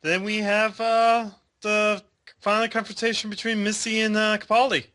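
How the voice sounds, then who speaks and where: Male panelist, high bright voice, combative and quick to object. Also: level tone. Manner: argumentative, quick to push back. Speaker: podcast co-host. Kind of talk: casual review discussion.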